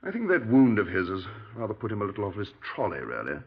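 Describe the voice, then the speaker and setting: An actor playing a genial, breezy middle-aged British retired major in a 1950s radio drama, clipped and dismissive. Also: male voice, lowish voice, wildly swooping pitch, fast talker, slightly rough, heavily acted. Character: genial, dapper retired army major, breezy small-town murder suspect. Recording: muffled 1950s radio recording.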